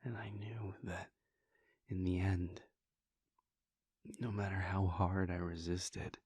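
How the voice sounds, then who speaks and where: A man voicing a weary, brooding male vampire prince in an intimate audio roleplay, speaking in a low husky whisper as a soft confiding monologue. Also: little pitch movement, slow pace, no room sound, exaggerated voice. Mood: melancholy.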